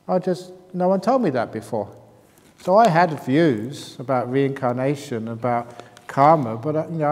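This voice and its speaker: Male meditation teacher, higher voice, playful teasing delivery, long hall reverb. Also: darkish tone. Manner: joking, teasing, reads out audience questions. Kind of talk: retreat Q&A talk.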